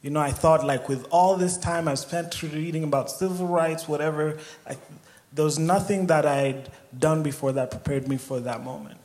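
A man's voice: a little high, darkish tone. Reflective young man from Kenya. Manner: reflective storytelling with fillers. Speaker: guest speaker. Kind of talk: personal testimony.

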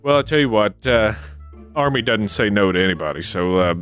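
A folksy male army recruiter, played by a man who drawls and stumbles through his sentences with patient fillers. His voice runs somewhat low. Soft music plays faintly behind the sketch. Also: lively intonation. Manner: hesitant, drawling, halting with fillers. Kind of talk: improvised comedy sketch.

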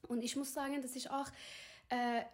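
A woman in her thirties who grew up in Iran and is not a German speaker, speaking haltingly with many fillers as an interview guest. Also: high-pitched, level tone. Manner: hesitant, searching for words with many fillers. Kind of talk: conversational interview.

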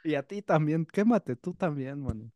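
A man's voice: on the high side, expressive, moderate pace, a little mellow, no echo, lo-fi sound. A man co-hosting a casual podcast chat. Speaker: podcast co-host.

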